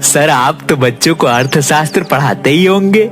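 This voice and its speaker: A man acts out a greedy, argumentative sweet-seller in a cartoon moral tale. His voice sits high for a man and swings widely in pitch, with music behind it. He is brusque and will not budge. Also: lively intonation, normal speed, a bit bright. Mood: smug, stubborn. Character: greedy stubborn male village sweet-seller, gruff father. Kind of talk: Hindi cartoon moral-story voice acting.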